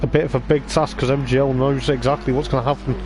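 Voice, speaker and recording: A man with a voice going hoarse, giving rambling live race commentary on a stream, with lots of hesitant fillers. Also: mid-range voice, little pitch movement, fast talker, background noise. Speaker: sim racing league commentator.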